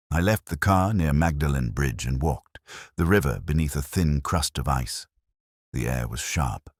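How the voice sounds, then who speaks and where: A man playing a melancholy detective who recalls a case in measured, literary first-person mystery narration. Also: very deep voice, no echo, studio quality, exaggerated voice. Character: melancholy older male English police detective recalling a case. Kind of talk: scripted first-person mystery narration, radio drama style.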